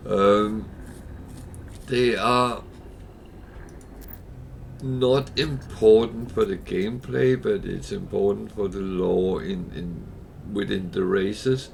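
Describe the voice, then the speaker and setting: A slow-talking man gives casual commentary on his game, his husky, crackling voice low and gravelly. He muses aloud, stopping on plenty of fillers. Steady hiss sits under the noisy stream recording. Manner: unhurried, thinking aloud with many fillers.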